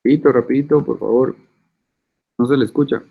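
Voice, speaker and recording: A man's voice: medium pitch, fairly even intonation, brisk, vocal fry, breathy edge. A man teaches a university class over a video call, chatty and a little teasing. His voice sits in an ordinary middle range. The audio is narrow and tinny, like a phone line. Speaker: university lecturer. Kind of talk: online class roll call and lecture.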